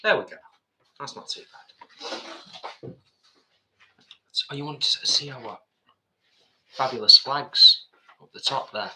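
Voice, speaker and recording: A man's voice: medium pitch, slow, long pauses, low-quality recording. A middle-aged man co-hosting a live stream in casual chat, reading out viewer comments. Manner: lively, chatty, reads viewer comments. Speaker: co-host.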